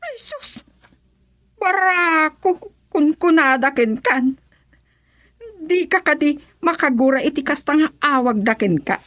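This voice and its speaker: A woman playing a chirpy, boastful gardener in an Ilocano comedy radio drama, with drawn-out comic delivery. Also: high voice, lively intonation, fairly bright tone, dry recording, clean studio sound, exaggerated voice. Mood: teasing.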